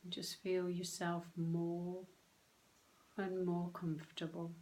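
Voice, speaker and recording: A woman leading a guided meditation, speaking gently and unhurriedly in short phrases with long pauses. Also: on the low side, completely flat delivery, low-quality recording. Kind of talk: guided meditation, soothing instruction.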